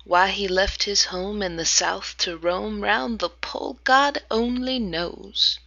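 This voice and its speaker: A woman reading a narrative poem aloud, dramatic, with hushed whispered passages. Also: mid-range voice, takes it slowly, creaky, no room sound, lo-fi sound. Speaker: amateur reader.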